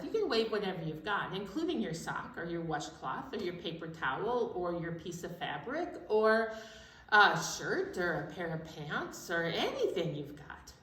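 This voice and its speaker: A woman's voice: medium pitch, sharp tone. A woman co-hosting a children's storytime, chatting and giving simple instructions to young listeners. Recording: echoey room, distant from microphone.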